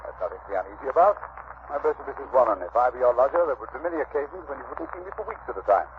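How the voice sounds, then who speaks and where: An actor playing a self-assured, sharp-minded gentleman detective with a British accent, clipped and theatrical, in 1940s radio style. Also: mid-range voice, expressive, rapid-fire, close to an everyday voice. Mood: brisk. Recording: tinny, noisy vintage radio recording.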